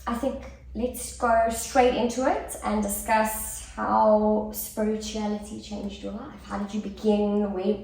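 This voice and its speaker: A woman host gently guides her guest with short questions and encouraging remarks. Her voice is of ordinary pitch and sounds a little distant from the mic. The room rings with a strong echo. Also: level tone, unhurried, creaky, few pauses. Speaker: podcast host. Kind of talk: interview questions.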